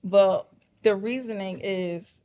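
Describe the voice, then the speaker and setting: A woman's voice: mid-range voice, takes it slowly, mellow, slight background noise. A woman whose first language was Jamaican Patois, telling her story candidly as a guest on a casual talk show.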